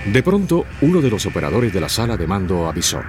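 A man's voice: fairly low pitch, sing-song, fast talker. A man narrating a scripted children's story. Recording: music behind.

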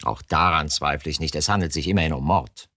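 Creaky, insistent police chief. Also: male voice, rumbling low, fairly quick, sharp tone, no room sound, clean studio sound, heavily acted. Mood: dry, probing. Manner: probing, dryly polite, exasperated. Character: insistent, patient police chief interrogating a murder suspect. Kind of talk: radio drama acting.

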